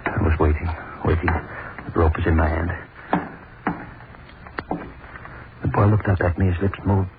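A man's voice: sits low, fairly even intonation, fast talker, creaky, narrow, tinny audio. A man playing a greedy, guilt-haunted hangman in an old radio drama, talking in feverish, driven narration. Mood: brooding. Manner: feverish, rising to manic panic. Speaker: narrator and lead. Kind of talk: 1940s radio acting. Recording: scratchy, thin old radio broadcast.

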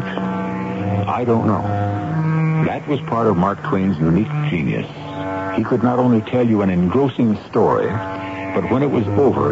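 A man hosting a radio mystery show, giving scripted narration in a measured, conversational, explanatory way. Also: on the low side, gravelly. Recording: dull radio broadcast with music. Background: music.

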